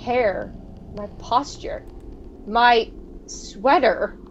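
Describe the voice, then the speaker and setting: A woman co-hosting a gaming video, reading visual novel text aloud in a playful, expressive way with casual asides. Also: a little high, drawn-out words. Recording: dull, noisy home recording.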